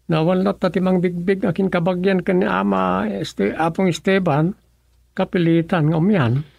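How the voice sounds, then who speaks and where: A man acts an earnest village husband with an Ilocano accent, hesitant and coaxing. His voice is fairly high for a man and full of creak, in radio drama style. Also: steady tone, moderate pace, darkish tone, no echo, exaggerated voice. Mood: concerned, coaxing.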